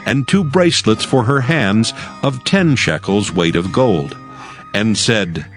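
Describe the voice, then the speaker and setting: Expressive male scripture narrator. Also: lowish voice, takes it slowly, faint music behind. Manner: formal storytelling. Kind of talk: scripted scripture narration for a dramatized audio Bible.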